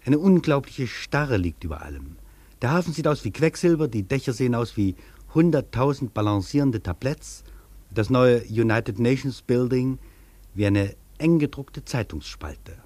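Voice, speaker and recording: A male actor playing a reflective traveller, delivering a literary radio monologue in a measured, poetic, declamatory way. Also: medium pitch, animated, darkish tone, no room sound, lightly acted. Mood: awed, contemplative. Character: reflective male traveller marvelling at a vast foreign city. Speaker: actor reading a traveller's first-person account in a radio feature.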